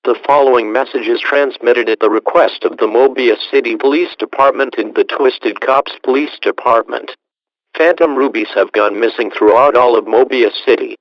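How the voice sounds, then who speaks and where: A man's voice: medium pitch, moderate pace, no echo, natural voice. A man playing an emergency alert announcer, reading a formal scripted broadcast message in a dull lo-fi recording. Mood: official. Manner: formal, official. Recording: dull, dry lo-fi audio.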